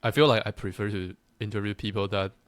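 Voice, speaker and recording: Low-voiced Taiwanese man interviewing. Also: fairly even intonation, quick pace, dry recording. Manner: brief, affirming with short remarks. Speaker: interviewer, host. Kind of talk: casual interview questions.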